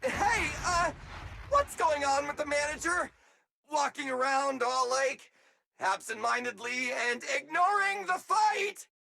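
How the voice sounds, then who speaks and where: A man playing an excitable, goofy, loyal android sidekick in a game cutscene, exclaiming and whining dramatically, with noise behind him. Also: very high voice, unhurried, creaky, exaggerated voice. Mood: puzzled. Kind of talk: animated game cutscene acting.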